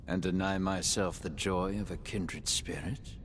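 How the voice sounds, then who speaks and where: A man's voice: low-pitched, fairly even intonation, measured pace, a little hiss or hum. A man playing a sly, courteous monster in a video game cutscene, drawling theatrically with arch formality. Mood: wry amusement.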